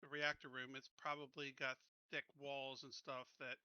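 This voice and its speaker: A man's voice: medium pitch, little pitch movement, moderate pace, pushed and bright, clean tone, dry recording, dull audio. A man playing a tabletop roleplaying game, talking casually as he makes decisions.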